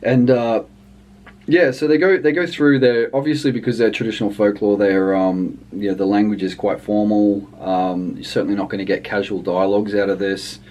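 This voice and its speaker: A man's voice: lowish voice, fairly even intonation, normal speed, darkish tone. A man giving a casual, unscripted review in a hesitant, rambling way, often trailing off mid-thought. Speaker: language book reviewer.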